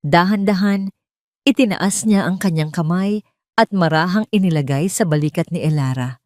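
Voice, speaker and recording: Filipino lady reading a romance drama story, lowish voice, really dry sound. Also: normal speed, darkish tone, no echo. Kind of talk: scripted dramatic narration of a romance audio story.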